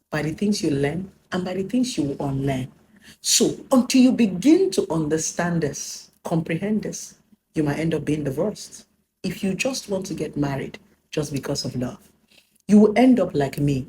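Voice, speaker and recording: A woman's voice: low-pitched, warm tone, a little hiss or hum, low-quality recording. Emphatic Nigerian woman in her thirties. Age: 30s. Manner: emphatic, preaching, mixes in Pidgin. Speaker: relationship speaker. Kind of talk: personal talk to camera.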